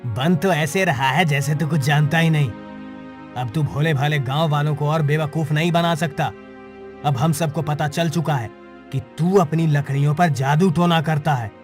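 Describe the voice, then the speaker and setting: An angry, superstitious village man acted by a male voice actor in a cartoon tale. His somewhat high voice scolds and accuses. Music plays faintly behind him. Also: lightly acted. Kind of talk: cartoon moral story dialogue.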